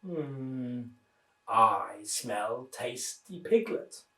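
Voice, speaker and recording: A man's voice: animated, very slow, pushed and bright, exaggerated voice. Man putting on a growling big bad wolf. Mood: greedy, sly. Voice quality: growling, deep. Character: big bad hungry greedy male wolf, menacing. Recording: roomy echo, distant microphone.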